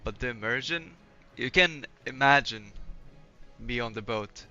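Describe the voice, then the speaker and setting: A man's voice: mid-range voice, unhurried, pushed and bright. Man, from Poland, laid-back gaming chatter, frequent swearing, faint music behind. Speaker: streamer. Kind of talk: casual live-stream chat while gaming. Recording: slightly dull lo-fi stream audio.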